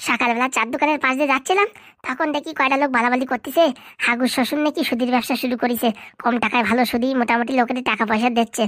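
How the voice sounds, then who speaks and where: A woman voicing a sly, flattering villager in a comedy cartoon, chatty and insistent. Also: high voice, racing, no room sound, studio quality. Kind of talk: amateur comedy cartoon dialogue.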